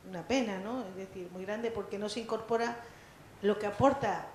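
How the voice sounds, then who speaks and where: A woman's voice: medium pitch, steady tone, moderate pace, a little hiss or hum. A middle-aged woman, a former teacher, recounting a classroom anecdote with hesitations. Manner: storytelling with hesitations. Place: lecture hall. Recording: echoey hall.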